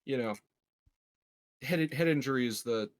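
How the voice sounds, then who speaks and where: Casual man with a mid-pitched, dry-sounding voice. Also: unhurried, dry recording. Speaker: tabletop game player. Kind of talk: casual, joking game chat.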